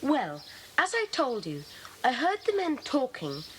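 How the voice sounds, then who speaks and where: A puzzled, earnest young schoolboy in a children's mystery drama. The voice is high and animated, with faint cassette noise behind. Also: measured pace, exaggerated voice. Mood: eager, puzzled. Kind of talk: children's audio drama acting. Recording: faintly noisy 1980s cassette.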